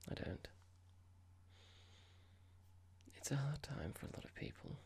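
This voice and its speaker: A performer voicing a horrible, condescending office boss in a whispered ASMR roleplay, mock-polite and slow, asking pointed, patronising questions. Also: a little mellow, close to an everyday voice. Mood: mock-sympathetic.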